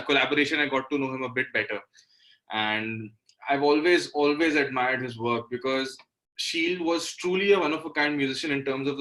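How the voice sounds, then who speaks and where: A man's voice: mid-range voice, bright, forceful tone, rough recording. A man in his twenties with an Indian accent, giving casual, conversational answers as a musician being interviewed. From Delhi, India. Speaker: interview guest, singer-songwriter.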